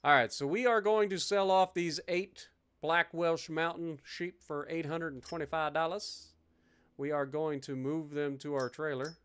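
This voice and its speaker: High-voiced joking streamer man. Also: steady tone, unhurried, fairly bright tone, clean tone. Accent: Ohio. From Ohio. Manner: chatty, joking, talks to himself and chat. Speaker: game streamer. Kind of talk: casual gameplay commentary.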